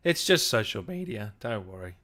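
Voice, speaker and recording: A man's voice: lowish voice, very animated, normal speed, crowd noise. A man with an Australian accent hosting a casual interview in a laid-back, friendly way. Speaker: interview host.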